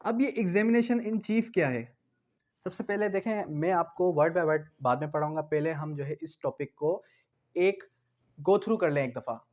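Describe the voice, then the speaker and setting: A Pakistani-accented man delivers an explanatory lecture in a noticeably high voice. He teaches step by step, repeating terms and asking questions before answering them himself. The recording is somewhat dull and lo-fi. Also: unhurried, darkish tone. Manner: didactic, repetitive, asking and answering own questions. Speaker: law teacher. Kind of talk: explanatory lecture mixing Hindi-Urdu with English legal terms.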